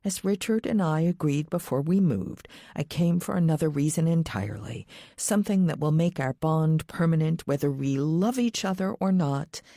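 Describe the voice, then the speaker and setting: A low-pitched woman narrates a thriller audiobook in the first person. The sound is dry, with very little room around her scripted reading. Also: animated, moderate pace, gravelly, hardly any pauses, mellow, no room sound.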